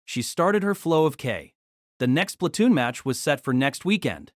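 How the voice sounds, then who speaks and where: A narrator reading a novel aloud in an expressive storytelling manner. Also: mid-range voice, normal speed, dry recording, clean studio sound.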